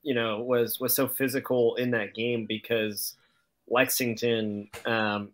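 A man's voice: medium pitch, flat delivery, slow, fairly bright tone. A man giving casual sports analysis as a panelist on a video call. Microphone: video call microphone.

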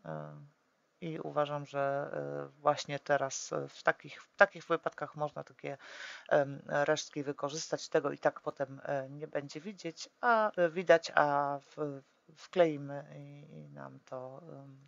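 A woman calmly walking through a papercraft tutorial step by step, often pausing with drawn-out fillers as she works. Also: low-pitched, creaky, dry recording, highs cut off. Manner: hesitant, full of drawn-out "e" fillers while working; calm, practical instructing.

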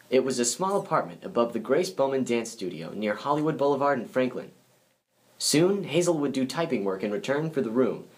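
American man narrating, mid-pitched. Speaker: presenter, grandson of the subject. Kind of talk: scripted biographical narration.

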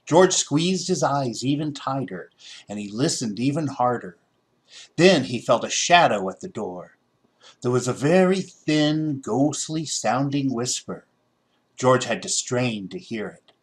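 A man's voice: medium pitch, lively intonation, a little slow. A middle-aged American man narrating a children's story expressively. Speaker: storybook reader.

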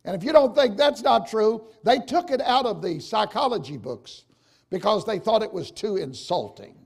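An older man with a gravelly, raspy voice, preaching a folksy, emphatic sermon to his congregation. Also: high voice, lively intonation, moderate pace. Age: older, 60s or 70s. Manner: emphatic, folksy, addressing listeners directly with questions. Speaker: preacher, church bishop. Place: church sanctuary, at the pulpit.

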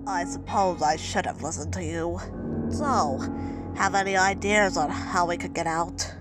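A woman's voice: lowish voice, a little slow, natural voice. A woman voicing an irritable, nerdy male cartoon anteater in an amateur comic dub, grumbling and sarcastic, dramatic when alarmed. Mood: grumbling, resigned. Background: music.

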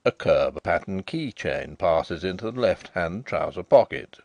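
A man's voice: sits low, expressive, a little slow, creaky, dry recording, voice pushed down, heavily acted. A man voicing a deferential, precise manservant giving a formal report. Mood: respectful.

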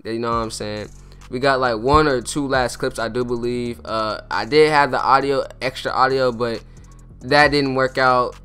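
A man's voice: mid-range voice, steady tone, moderate pace, smooth, faint music behind. A teenage boy hosting a casual interview vlog in a school cafeteria, talking loosely with lots of fillers and slang. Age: teens. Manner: laid-back slangy chatter with lots of fillers. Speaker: vlogger interviewing classmates. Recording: noisy crowded room on a phone. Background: loud crowd chatter.

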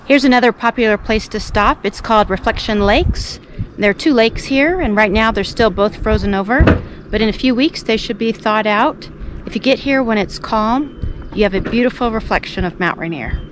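A middle-aged woman with a fairly high voice, filming herself at arm's length outside by roads and trails. She explains the stops in an easy, informative way, and steady outdoor noise runs under her words. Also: normal speed. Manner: relaxed, informative tour-guide talk. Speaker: hiking vlogger. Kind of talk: casual travel vlog narration. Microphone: handheld camera at arm's length.

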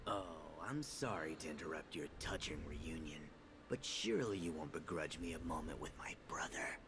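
A man's voice: extremely low pitch, lively intonation, moderate pace, background noise. A man voicing a sinister young video game villain who taunts and sneers smugly. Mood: smug, mocking. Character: mocking, sinister young male villain, smug.

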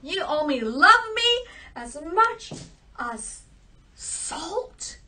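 Casting: woman as a grumpy old king, haughty and loud, heard from far off. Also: sits high, slow, full character voice. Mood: indignant. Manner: indignant, scolding. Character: grumpy old king, a father demanding love. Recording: distant mic.

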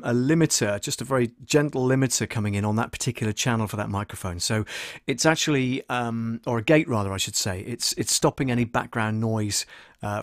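A man's voice: mid-range voice, dry recording, studio quality. A middle-aged British man hosting a casual screen-share tutorial, hesitant as he thinks aloud while working. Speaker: voice-over artist and audio producer hosting a livestream.

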